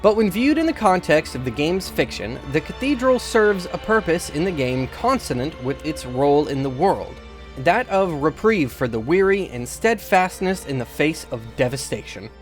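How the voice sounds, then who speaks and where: Male reader, fairly high voice, formal scripted passage, music underneath. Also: sing-song, moderate pace.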